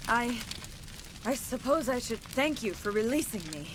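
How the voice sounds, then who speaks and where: A woman playing a solemn alien commando in a video game, speaking in a formal, measured and grave way. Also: on the high side, lively intonation, lightly acted. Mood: grave, subdued. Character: solemn female alien commando, former thrall of an ancient plant creature. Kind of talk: scripted video game acting. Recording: clear background noise.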